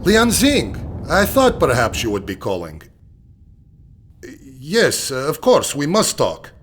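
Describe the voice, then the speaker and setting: An actor playing a courteous, wary Russian intelligence officer in a video game, with a Russian accent, a husky voice and a hesitant, formal manner. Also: male voice, on the high side, wildly swooping pitch, slow pace, slight background noise, heavily acted. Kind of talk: video game cutscene acting.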